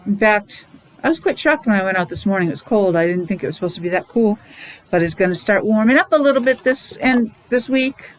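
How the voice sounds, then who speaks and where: A woman's voice: mid-range voice, animated, fairly quick, rough recording. A middle-aged woman teaching young children in an interactive morning lesson, using simple repeated phrases, questions and sounded-out letters. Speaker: preschool or special education teacher leading morning meeting.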